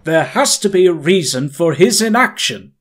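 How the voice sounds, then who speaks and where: A man's voice: a little high, animated, normal speed. A man voicing a stern, proud young prosecutor in a game dub, formal and pointed in his speech. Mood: stern, suspicious. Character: stern, haughty young male courtroom prosecutor, suspicious and proud. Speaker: fan dub voice actor. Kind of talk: dramatic video game dub acting.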